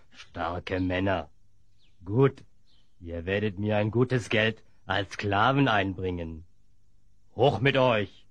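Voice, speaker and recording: A man's voice: on the low side, slow, pauses often, dry recording. A man playing a menacing, gloating bandit chief and slave trader with a mocking drawl in a fantasy audio drama.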